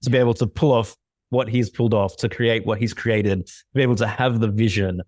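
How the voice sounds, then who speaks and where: A man co-hosts a podcast with a lowish voice. He sounds eager and motivational, and he swears casually in a relaxed chat. The mic is close and the sound is dry. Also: brisk, dry recording. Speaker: podcast co-host.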